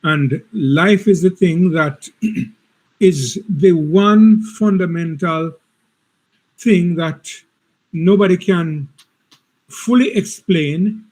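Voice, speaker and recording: Guy giving a seminar, kinda high voice, earnest, keeps repeating himself. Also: very slow, long pauses, a little mellow, rough recording. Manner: earnest, with repeated words and restarts. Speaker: seminar presenter. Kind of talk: reflective seminar talk.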